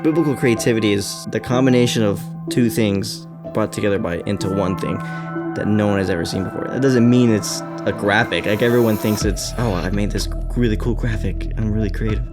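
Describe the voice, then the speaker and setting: A man's voice: fairly low pitch, fairly quick, over loud music. A man in his twenties from Colorado, a podcast guest chatting casually with some rambling and laughs. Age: 20s. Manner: relaxed, rambling, laughs often. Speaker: podcast guest, church graphic designer.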